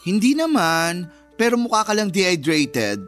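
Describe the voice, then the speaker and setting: A man's voice: sits high, sing-song, normal speed, a bit bright, soft background music. A man with a Filipino accent acting a sassy, fiercely loyal cousin in a radio drama, slangy and emphatic. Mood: teasing, comforting.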